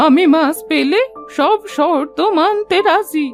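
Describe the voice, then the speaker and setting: A woman's voice: high voice, slow. A woman voicing a blunt old fishing grandmother in a cartoon fairy tale. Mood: eager. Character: old fishing grandmother, blunt but honest to her word. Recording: music under the voice.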